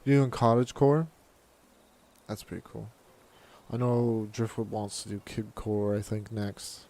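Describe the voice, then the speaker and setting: A man's voice: on the low side, flat delivery, unhurried, dark tone. A man streaming a video game live, chatting casually and answering viewers' comments aloud in a laid-back way.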